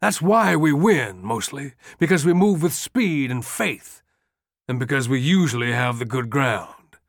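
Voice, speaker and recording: A weary, blunt-spoken general growls and scoffs in a slow, heavy delivery, as a man acts him out. His voice swings between low muttering and hard, earthy emphasis. The recording is close and dry. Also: lively intonation, vocal fry, dry recording. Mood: forceful. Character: gruff, weary army general, middle-aged man, blunt.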